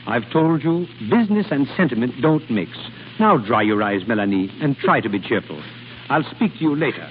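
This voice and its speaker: Actor as cool gentleman schemer, lively intonation, old radio. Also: mid-range voice, close to an everyday voice. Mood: cool, firm. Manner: clipped, formal, controlled, brisk authority. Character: cool, unsentimental gentleman adventurer, scheming aristocrat. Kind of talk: old-time radio drama acting. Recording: tinny, noisy vintage radio recording.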